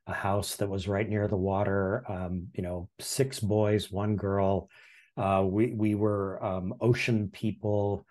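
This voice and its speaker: A man in his sixties from Hawaii, telling his life story in a relaxed, reflective way as a podcast guest, with frequent ums and restarts. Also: lowish voice. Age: 60s. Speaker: podcast guest, former history teacher. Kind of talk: casual interview storytelling.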